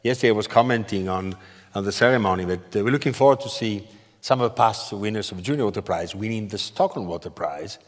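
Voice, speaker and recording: Deep-ish guy talking quick on a panel, emphatic, hall echo. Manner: emphatic, repeats for stress. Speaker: panel guest. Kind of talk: panel talk. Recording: reverberant conference hall.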